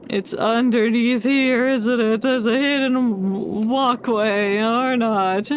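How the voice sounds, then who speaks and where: A woman's voice: on the high side, steady tone, slow pace, a little hiss or hum. A woman giving casual live commentary on a game, thinking aloud as the game music plays behind. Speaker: game streamer.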